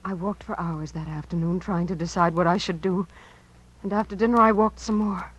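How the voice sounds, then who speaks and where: An American actress playing a frightened yet defiant young niece in a 1950s radio drama, earnest and dramatic. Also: female voice, fairly low pitch, fairly even intonation, brisk, highs cut off, lightly acted. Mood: haunted, grave.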